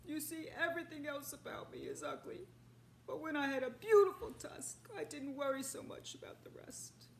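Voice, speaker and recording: A woman voicing an old, angry, weepy male rhinoceros, speaking loudly and drawing out her words. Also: high voice, wildly swooping pitch, moderate pace, vocal fry, slight background noise, pitched above the performer's own voice, heavily acted. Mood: mournful. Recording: echoey room with faint ambience.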